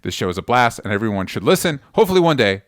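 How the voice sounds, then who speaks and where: A man hosting a podcast in a casual, animated, informal way, chatting and making show announcements. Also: mid-range voice, racing, no room sound, studio quality. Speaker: podcast host.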